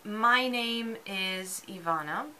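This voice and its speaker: A woman from Serbia who works as an actor and bartender, explaining her view thoughtfully in an interview and pausing often with ums. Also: mid-range voice, crowd noise. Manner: thoughtful, searching, frequent ums.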